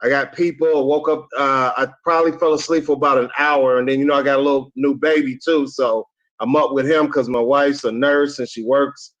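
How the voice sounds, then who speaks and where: A man on a live call, talking in an excited and rambling way though he sounds short on sleep. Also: mid-range voice, brisk. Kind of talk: casual live-stream chat. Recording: dull lo-fi audio.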